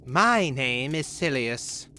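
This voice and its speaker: A man's voice: mid-range voice, animated, slow pace, bright, forceful tone, slight background noise. A man playing a snarky, skeptical rodent creature, chatty and sarcastic, in an audio drama. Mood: wry. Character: snarky skeptical rodent-folk city dweller.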